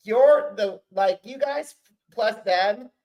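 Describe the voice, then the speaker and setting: A man's voice: sits high, takes it slowly, dull audio. A man hosting a podcast interview, talking like an enthusiastic fan, in bursts with many restarts. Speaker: podcast host. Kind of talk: casual interview chat.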